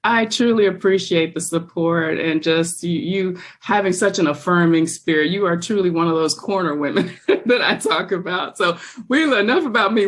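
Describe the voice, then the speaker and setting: Brief: upbeat woman host with a lowish voice, affirming and encouraging. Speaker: podcast host. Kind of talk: scripted guest introduction and interview.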